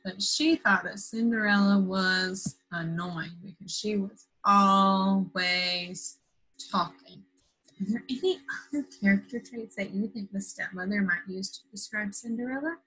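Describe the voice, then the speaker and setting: Woman, primary teacher, bright forceful voice, slightly husky, simple questioning phrases, stretched key words, children's lesson. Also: mid-range voice, unhurried, rough recording. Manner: simple, patient phrasing with frequent questions to young listeners. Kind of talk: interactive children's lesson after a read-aloud.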